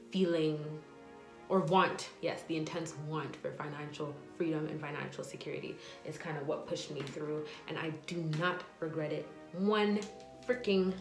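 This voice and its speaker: A chatty young woman in her twenties with a slightly low voice, answering viewer questions in a relaxed vlog. She rambles and fills her talk with "like" and "um". The room is echoey, and faint music plays underneath. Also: a little slow. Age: 20s. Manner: chatty, rambling, many "like" and "um" fillers. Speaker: nurse and vlogger.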